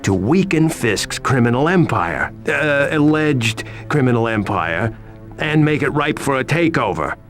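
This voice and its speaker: Creaky, animated, nervous informant. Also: male voice, mid-range voice, a little slow, soft background music. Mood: urgent, uneasy. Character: nervous, fast-reacting male informant, streetwise and worried. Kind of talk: video game cutscene acting.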